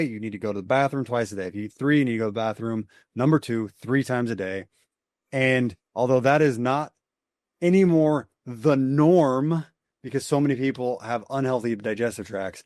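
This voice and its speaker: Man, guest doctor, explanatory, mid-pitched voice, dry recording. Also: a little mellow, dry recording. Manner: explanatory, matter-of-fact. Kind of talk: interview answers.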